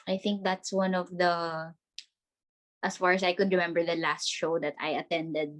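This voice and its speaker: A young woman from the Philippines chats as a guest over a laptop video call from her bedroom. Her voice sits a little low for a woman. She talks in a bubbly way, laughing often and scattering "like" through her sentences. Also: flat delivery, normal speed. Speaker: podcast guest. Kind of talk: casual video-call interview.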